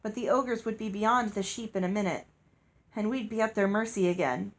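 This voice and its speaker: A woman's voice: mid-range voice, normal speed. A woman reading a story aloud as narrator. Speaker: audiobook reader.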